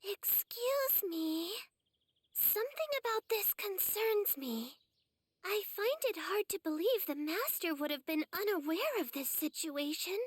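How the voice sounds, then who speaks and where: A woman's voice: very high-pitched, lively intonation, unhurried, no echo. A woman playing a bubbly, lovestruck young swordswoman in an anime game, sweet and girlish in manner. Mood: hesitant concern. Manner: gushing, sweet, cutesy. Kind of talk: English anime game voice acting.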